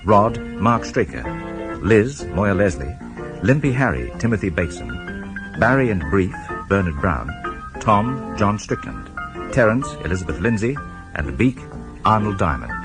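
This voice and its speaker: A man's voice: lowish voice, sing-song, a little slow. A male radio announcer giving a scripted broadcast cast announcement over plucked guitar music.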